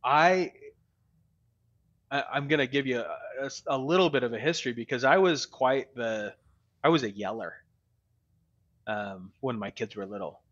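A man in his forties, a podcast guest, talking slowly and reflectively with many pauses and ums over a video call. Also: medium pitch, lively intonation, a bit bright, dull audio. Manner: slow and reflective, many ums, laughs easily. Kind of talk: casual interview talk. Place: home room. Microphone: studio mic on video call with headphones.

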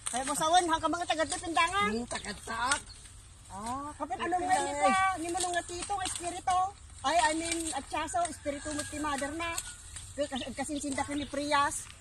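A woman's voice: high-pitched, normal speed. A woman vlogging on a phone outdoors in a cornfield, chatting casually and mixing in English phrases. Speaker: vlogger filming a harvest. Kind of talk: casual chat. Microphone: phone camera held by the speaker. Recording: outdoor phone audio with noise.

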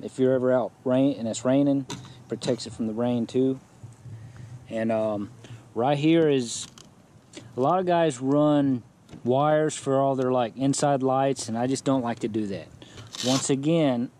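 Man, mellow voice, easygoing gear walkthrough, outdoors, action camera, faint background noise. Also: mid-range voice, unhurried. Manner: relaxed, plain-spoken, many fillers. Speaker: kayak angler showing his setup. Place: outdoors in a yard beside a kayak.

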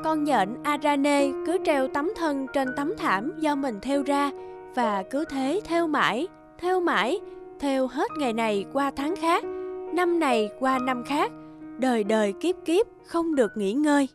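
High-pitched woman narrating a fairy tale. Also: animated, a little slow, music in the background.

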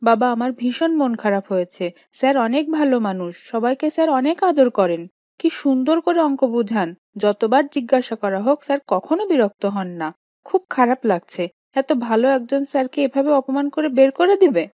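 A woman's voice: high-pitched, expressive, normal speed, dark tone, no room sound. A woman voicing a polite, earnest young schoolboy in a children's cartoon. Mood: sad. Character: polite, earnest little schoolboy talking with his father. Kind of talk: cartoon dialogue acting.

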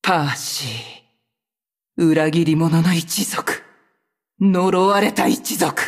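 Game role: vengeful sorceress, cold and haughty, husky tone, slow measured delivery. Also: female voice, low voice, heavily acted. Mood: cold, scornful. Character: proud, vengeful sorceress who betrayed her cursed clan. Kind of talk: video game character voice lines in Japanese.

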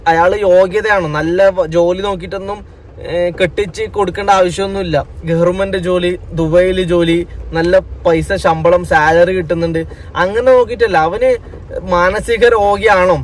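High-voiced man with a Kerala Malayalam accent. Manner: admonishing, direct address, repeats himself for emphasis. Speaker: vlogger giving commentary on news. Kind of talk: unscripted opinion commentary to camera.

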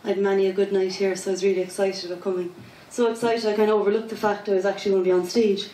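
A woman's voice: medium pitch, level tone, racing, mellow, slight background noise. A woman in her thirties with a Cork Irish accent, chatting casually and then reciting her poems in a rhythmic, self-deprecating way on a pub stage. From Ireland. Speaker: spoken word poet. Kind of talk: casual interview and poetry performance. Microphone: handheld mic, far camera. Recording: echoey pub from far off.

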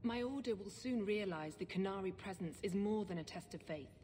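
An actress playing a stern, secretive, zealous church sister in a video game, speaking formally and gravely. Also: female voice, moderate pace, mellow, natural voice. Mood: resolute. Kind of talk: video game dialogue acting. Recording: reverberant game audio with low rumble.

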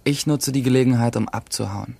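A man's voice: medium pitch, fairly quick, gravelly, darkish tone, no room sound. A man playing a resentful, aloof teenage boy who narrates a radio drama in terse, sardonic sentences. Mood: detached, dry.

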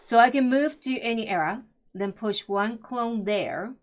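A woman's voice: fairly high pitch, unhurried. A middle-aged woman casually thinking aloud through her moves as she co-hosts an indoor board game playthrough. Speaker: board game show co-host and player. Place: indoor room at a game table.

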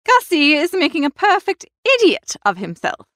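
A woman's voice: very high-pitched, wildly swooping pitch, normal speed, a bit bright, dry recording, studio quality, exaggerated voice. A woman playing a bossy, formidable older upper-class aunt in a comic audiobook, scolding and emphatic. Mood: scornful, commanding.

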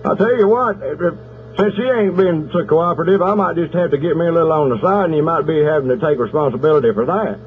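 Over a tinny, noisy phone line comes a man putting on an angry Southern country husband from Oklahoma. He rambles in a folksy drawl, deadpan and spoiling for a fight, in a slightly high voice. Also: fast, natural voice. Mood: threatening. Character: aggrieved, belligerent country husband threatening a whooping. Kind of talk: prank phone call.

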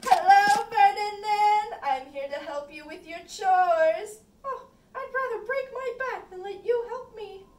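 Very high, slow lovestruck puppet sweetheart woman acting. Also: a little mellow. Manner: gushing, theatrical. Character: lovestruck young sweethearts in comic puppet romance. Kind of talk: amateur puppet-show acting. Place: indoor room with puppet stage. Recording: echoey room, distant mic, faint noise.

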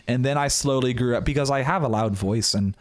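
Young male comedian guest from Indiana; hesitant, self-correcting delivery, mid-range pitch, close-miked studio. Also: fairly quick. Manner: hesitant, restarts sentences. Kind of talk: casual chat. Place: small studio room, indoors. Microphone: studio mic with headphones.

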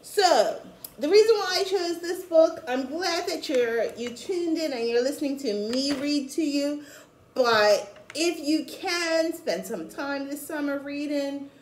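A middle-aged woman reading a storybook aloud to young children, with chatty asides, in an expressive, encouraging way. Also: sits high, unhurried, slight background noise. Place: small room at home, indoors. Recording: echoey small room.

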